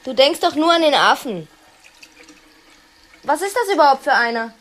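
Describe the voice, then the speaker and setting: A woman's voice: high voice, very animated, normal speed, fairly bright tone. A woman playing a lonely, hard-drinking, insecure and seductive wealthy wife in a radio play. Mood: coaxing.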